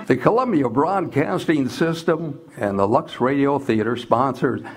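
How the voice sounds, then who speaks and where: A man's voice: medium pitch, measured pace, over loud music. A man announcing and narrating in formal, stately 1940s radio style.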